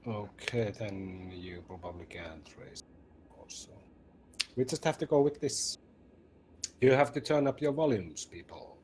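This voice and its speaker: Slow deep-voiced playful man co-host. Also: a little mellow, a little hiss or hum. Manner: slow, playful, relays chat messages. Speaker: podcast co-host. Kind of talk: casual chat over voice call.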